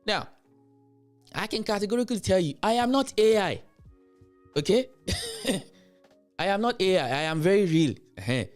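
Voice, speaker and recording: Emphatic Nigerian man, lively pitch. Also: normal speed, pauses often, no room sound, soft background music. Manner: emphatic, repeats himself to drive points home. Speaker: commentary show host. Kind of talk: reaction commentary, addressing viewers.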